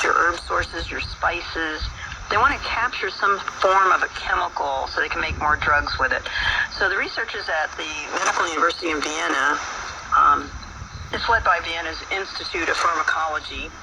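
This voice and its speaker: Chatty radio herb-show host. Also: male voice, fairly high pitch, recorded from a distance. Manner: relaxed explanatory, many fillers. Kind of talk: radio talk show monologue. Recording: faraway voice with loud background noise.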